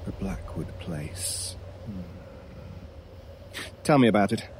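A man's voice: sits low, animated, a little hiss or hum. Enigmatic, commanding male stranger. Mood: probing, ominous. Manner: measured, probing, authoritative. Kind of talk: horror audio drama acting.

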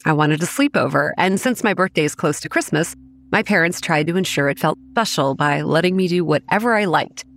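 A young woman acting as narrator of a horror audio drama, recounting grim childhood memories in a measured, matter-of-fact way. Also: low voice, lively intonation. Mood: grave, measured. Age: young adult. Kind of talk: scripted first-person horror narration. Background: soft music.

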